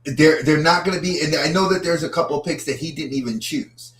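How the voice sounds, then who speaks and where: A man's voice: on the high side, brisk, fairly bright tone, a little hiss or hum. A man co-hosting a podcast, chatting casually about movies and often repeating words as he starts a thought. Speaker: podcast co-host. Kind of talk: casual movie-review chat. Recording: roomy echo.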